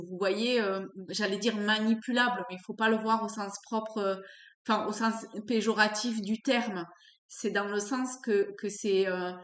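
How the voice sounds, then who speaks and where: Woman w a cold reading tarot, slow and halting, lots of ums, echoey room. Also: medium pitch, fairly bright tone. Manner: halting, searching for words with many fillers. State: has a cold. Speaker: tarot card reader. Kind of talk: unscripted tarot reading to camera.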